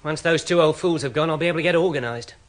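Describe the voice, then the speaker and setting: An actor playing a smug, officious younger office rival in a radio comedy drama, condescending and huffy. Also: male voice, on the high side, quick pace, natural voice. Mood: exasperated.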